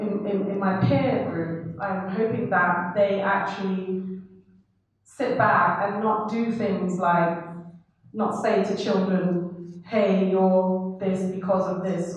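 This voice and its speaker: A woman from Trinidad with a Trinidadian accent, speaking as a panellist in a reflective, conversational way with fillers. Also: medium pitch. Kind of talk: panel discussion. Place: university hall. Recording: echoey hall, speaker far from mic.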